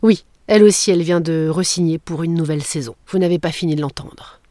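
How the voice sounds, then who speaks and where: A woman's voice: lowish voice, fast, dark tone, no room sound. A woman hosting a podcast, reading scripted narration with playful, jokey asides into a close mic. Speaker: podcast host.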